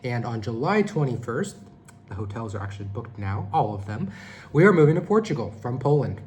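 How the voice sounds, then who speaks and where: A man's voice: mid-range voice, very animated, fairly quick, a little mellow. A man in his thirties from California, talking casually and rambling a bit while giving a vlog update. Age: 30s. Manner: rambling, conversational, self-correcting. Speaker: vlogger.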